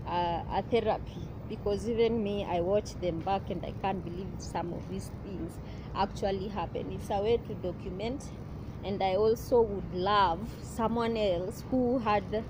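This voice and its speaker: A young woman talks in a personal vlog, earnest and halting, often restarting her sentences. Her voice sits at an ordinary middle pitch. Clear outdoor traffic noise runs behind her. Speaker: vlogger.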